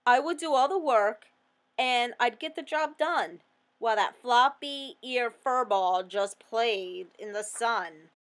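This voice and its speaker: A woman's voice: on the high side, takes it slowly. Woman acting out a boastful, indignant little storybook hen. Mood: boastful, scornful.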